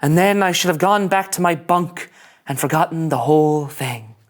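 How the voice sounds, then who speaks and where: A man's voice: fairly high pitch, normal speed, lightly acted. A man playing an anxious, earnest young starship ensign in a sci-fi comedy, nervous and overdramatic. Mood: brooding narration. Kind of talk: animated sci-fi comedy table read.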